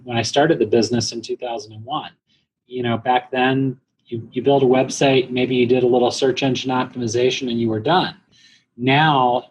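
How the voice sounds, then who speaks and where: A man giving explanatory answers as a business guest in an interview, speaking in a measured, halting way with pauses. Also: medium pitch, muffled recording. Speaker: guest, internet marketing company president. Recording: clear background noise.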